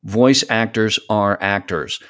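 A man's voice: fairly low pitch, slow, fairly bright tone, clean tone. A man in a casual podcast conversation, speaking emphatically and repeating phrases to stress his point. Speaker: podcast guest, hobby voice actor.

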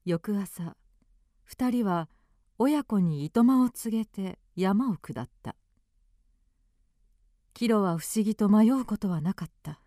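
Slow, mellow woman narrator. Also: mid-range voice, animated, no echo, clean studio sound. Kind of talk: scripted radio drama narration.

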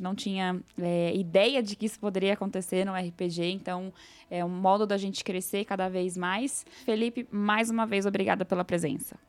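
A Brazilian woman hosting a podcast in a conversational, chatty and effusive way. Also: medium pitch. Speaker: podcast host.

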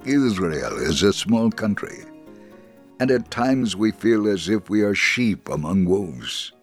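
A man's voice: lowish voice, expressive, takes it slowly. A man playing a devout Holocaust survivor from Israel, telling his story earnestly in a dramatic memoir reading. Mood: earnest, solemn. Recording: very dry studio, faint music bed.

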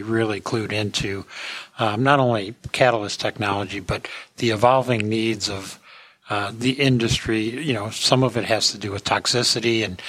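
A man's voice: lowish voice, a bit bright. A man hosting a podcast with casual industry commentary, speaking to listeners in a folksy way. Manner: folksy, addressing listeners as friends.

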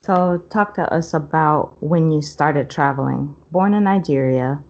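A woman's voice: low-pitched, measured pace, a little mellow, highs cut off. A woman hosting a travel podcast, asking her guest questions in a friendly, conversational way. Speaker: podcast host. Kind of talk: podcast interview.

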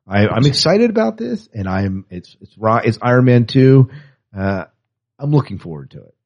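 A man's voice: medium pitch, very animated, moderate pace. A man co-hosting a podcast, chatting casually and with a fan's enthusiasm about entertainment news, on a lo-fi recording. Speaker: podcast co-host. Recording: dull, lo-fi podcast audio.

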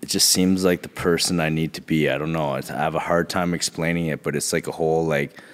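A man co-hosting a podcast in casual chat, his voice raspy from a sore throat, rambling in a laid-back way. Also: rumbling low, steady tone, brisk, in a large hall. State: sore throat from being choked. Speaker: podcast co-host.

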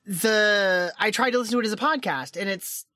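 A woman's voice: mid-range voice, normal speed, sharp tone, dry recording. Chatty woman podcaster, dry sound. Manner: chatty, rambling, laughs often, many "like"s. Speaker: podcast co-host and editor. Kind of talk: casual chat. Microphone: home podcast mic.

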